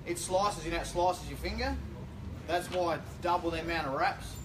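Australian male shop worker, fairly high pitch, blunt casual explainer, distant microphone. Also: normal speed. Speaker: fishing shop staff. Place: indoor fishing tackle shop. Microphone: distant camera microphone. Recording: distant mic, noisy shop room.

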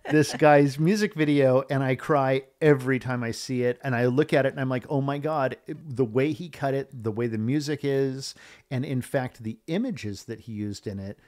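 A man's voice: mid-range voice, normal speed, darkish tone. An older man talking casually in a podcast studio, rambling and enthusiastic, quoting old conversations as he tells stories. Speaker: filmmaker guest and co-host. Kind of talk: casual chat about movies.

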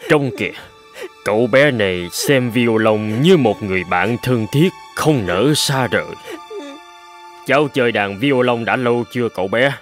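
A man voicing a kindly, portly older gentleman in a cartoon, speaking in a gentle, measured, encouraging way. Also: mid-range voice, soft background music, close to an everyday voice. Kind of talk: cartoon voice acting.

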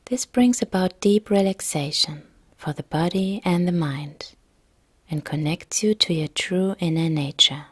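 A woman yoga nidra instructor narrating a guided relaxation meditation in a calm, soft, gentle, breathy way. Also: fairly low pitch, unhurried, dry recording.

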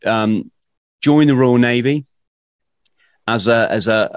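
A middle-aged British man hosting an interview podcast in a halting, conversational way, often repeating words. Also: medium pitch, dry recording, studio quality. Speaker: podcast host. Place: small room at home, video call. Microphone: close podcast microphone.

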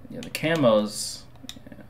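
A man in his twenties commentating on a game through a headset, excitable and slangy, repeating himself. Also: slow, slight background noise. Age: 20s. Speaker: gaming streamer. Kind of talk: casual gameplay commentary.

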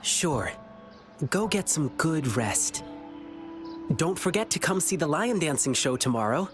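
A young lion-boy from a video game, kindly and earnest. His pitch moves freely as he reassures with wisdom beyond his years. Clear music plays under the dialogue. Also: sing-song, a little slow. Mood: friendly, thoughtful.

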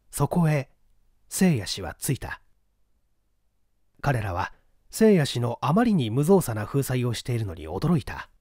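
Male narrator for mystery audiobook: formal, measured storytelling, expressive pitch movement, dry recording. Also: no room sound. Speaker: audiobook reader. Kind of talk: scripted literary narration of a period detective novel.

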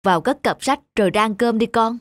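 A woman's voice: fairly high pitch, brisk, close to an everyday voice. Tender, weary middle-aged cartoon mother, voiced by a woman. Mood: reassuring. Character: weary, gentle, self-sacrificing widowed mother, middle-aged. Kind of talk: animated moral-tale dubbing.

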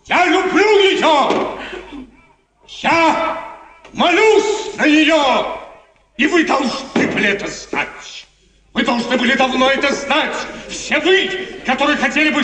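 An actor playing an imperious, passionate and volatile Italian prince with theatrical, emphatic delivery in a 1950s Soviet radio play. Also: male voice, very high-pitched, pushed and bright, full character voice. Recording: echoey, distant 1950s radio recording.

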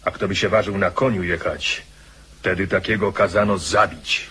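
An actor playing a grave soldier chronicler, recounting a hard retreat in a dramatised radio narration. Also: male voice, deep voice, fairly even intonation, moderate pace, bright, forceful tone, a little hiss or hum.

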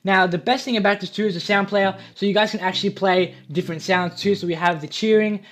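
A young man giving a casual, informal software tutorial, repeating himself as he explains. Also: sits high, fairly even intonation, fast. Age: young adult. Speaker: tutorial video host. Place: small home room. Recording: faint music behind voice.

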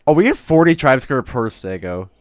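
A young man narrating his game story, then reacting excitedly and laughing in voice chat with his teammate. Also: mid-range voice, moderate pace, no echo. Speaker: gaming YouTuber.

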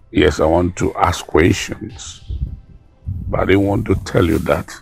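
Older Nigerian dad, quick-tempered yet tender; slow, gravelly delivery for screen drama. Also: male voice, sits low, full character voice, low-quality recording. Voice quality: gravelly, husky. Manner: halting, repeating phrases. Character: gruff, emotional Nigerian father, quick-tempered but tender. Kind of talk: film drama acting.

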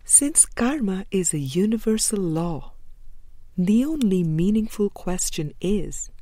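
A woman reading a spiritual book aloud in a measured, deliberate way, pausing between short phrases. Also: medium pitch, lively intonation, mellow, no echo. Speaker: audiobook reader.

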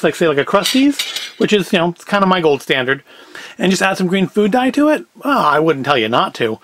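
A man's voice: sits high, dry recording. A man, chatting casually through a food review in a wry, self-deprecating, rambling way. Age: 40s. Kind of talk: casual review chat to camera.

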